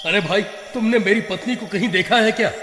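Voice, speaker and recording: A man's voice: sits high, fairly quick, background noise, natural voice. Blustering village husband, played by a man, echoey. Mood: distraught. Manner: blustering, exclaiming, theatrical. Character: indignant, defensive village husband, boastful then distraught. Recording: echoey reverberant sound with music.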